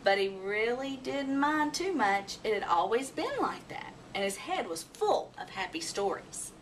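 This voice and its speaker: A woman narrating a children's storybook in an expressive, dramatic way. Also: on the high side, a little slow. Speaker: storybook reader. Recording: distant mic, faint background noise.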